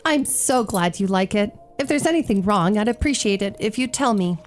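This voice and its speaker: A woman's voice: medium pitch, animated, moderate pace, a little hiss or hum, lightly acted. An actress playing an eager, ingratiating and plucky shop girl in a radio play. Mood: eager, flattering. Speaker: actor. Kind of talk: radio theatre acting.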